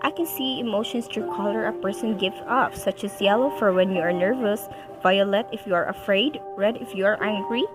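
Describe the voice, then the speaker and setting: A woman's voice: mid-range voice, a little fast, music in the background, natural voice. A woman playing a cool, matter-of-fact girl student who sees emotions as colors. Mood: knowing.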